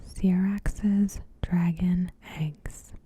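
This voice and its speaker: A woman softly whispering a scripted recap in a gentle, hushed, breathy ASMR narration. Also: on the low side, steady tone, very slow. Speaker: ASMR host.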